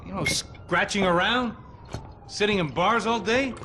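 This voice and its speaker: An actor playing a cocky, sociable ex-cop turned bodyguard in a video game, streetwise and persuasive. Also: male voice, high-pitched, unhurried, heavy background noise. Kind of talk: video game cutscene acting. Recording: music playing loudly behind.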